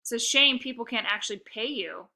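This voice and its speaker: A woman reading a children's book aloud, voicing a cocky, defensive young boy in an expressive way. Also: a little high, fairly quick, vocal fry, heavily acted. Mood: taunting. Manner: expressive, exclamatory in dialogue. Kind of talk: first-person children's book read-aloud.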